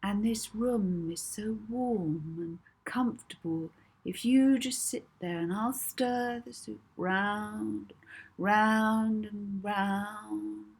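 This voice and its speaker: Woman playing a slow, soothing girl hypnotist. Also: mid-range voice, vocal fry, slightly rough, voice pushed up, heavily acted. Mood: soothing, hypnotic. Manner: slow, deliberate, coaxing, commanding. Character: young orphan girl hypnotist, soothing, bold, bossy. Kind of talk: audiobook character voice.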